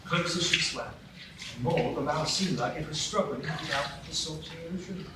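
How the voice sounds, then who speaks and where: A man narrating a storybook tale in a live radio play, with a gentle storytelling manner. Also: fairly high pitch, brisk. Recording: roomy echo from far off, light noise.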